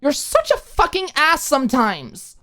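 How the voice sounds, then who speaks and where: A woman's voice: high voice, wildly swooping pitch, measured pace, a bit bright, voice pushed up, exaggerated voice. A woman voicing an indignant young female student, huffy and exasperated, in an audiobook drama. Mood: angry, hurt. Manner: huffy, exasperated, rhetorical questions. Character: Maud, indignant young woman student ranting at her roommate.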